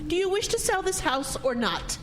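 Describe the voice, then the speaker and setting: A woman's voice: extremely high pitch, big pitch swings, normal speed, background noise. A woman acting in a radio drama as a prim, wealthy, pious spinster with a formal, imperious and sanctimonious manner. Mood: haughty, firm.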